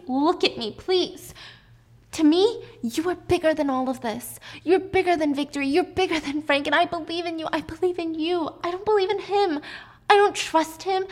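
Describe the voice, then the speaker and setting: A woman's voice: a little high, a little mellow. A woman voicing a desperate, emotional young wife from a film story, begging insistently and repeating herself with emphasis. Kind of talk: acted dialogue in a movie retelling.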